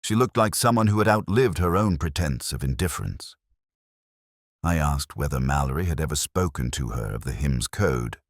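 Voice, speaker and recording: A man narrating a first-person detective story in a measured, brooding, literary manner. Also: animated, no room sound, studio quality. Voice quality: very deep.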